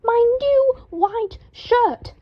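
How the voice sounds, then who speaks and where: A woman's voice: expressive, extremely slow, heavily acted. A woman voicing a vain young man who howls and shrieks over his ruined clothes. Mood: wailing. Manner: howling, shrill outbursts. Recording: dull lo-fi home recording.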